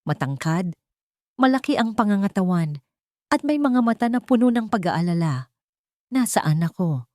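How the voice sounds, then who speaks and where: A woman reading a dramatic first-person audiobook story in a deliberate, emotive way, phrase by phrase. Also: mid-range voice, big pitch swings, a little mellow, dry recording, studio quality. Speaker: audiobook narrator.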